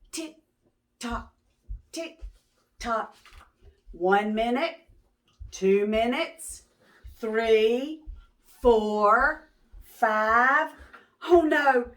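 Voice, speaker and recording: Female storytime reader for preschoolers: higher-pitched, unhurried, expressive, engages listeners with questions. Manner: expressive, pausing to ask young listeners questions. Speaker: children's read-aloud host. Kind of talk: animated picture-book storytelling for young children.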